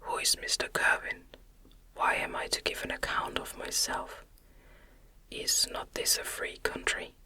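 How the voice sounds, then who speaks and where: A narrator voicing a polite, bewildered gentleman castaway in an audiobook, in an ASMR whisper. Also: a little slow. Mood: indignant.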